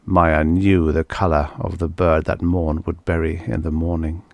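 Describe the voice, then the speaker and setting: A man narrating fiction in a dramatic, measured and deliberate literary reading style. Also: deep voice, little pitch movement, vocal fry, no room sound. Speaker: audiobook narrator.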